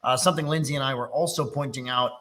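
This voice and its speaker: A man's voice: mid-range voice, fairly even intonation, quick pace, a bit bright. A middle-aged man from Texas, a little congested, hosting a live business webinar in a chatty, teasing, self-deprecating way. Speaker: workshop host. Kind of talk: casual live webinar talk.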